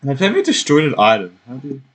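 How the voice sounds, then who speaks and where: A man's voice: medium pitch, wildly swooping pitch, very fast, fairly bright tone, breathy edge, dry recording. A man giving casual let's play commentary, reacting to the game in short, sparse muttered remarks. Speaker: gaming YouTuber. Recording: faint noise, game audio behind.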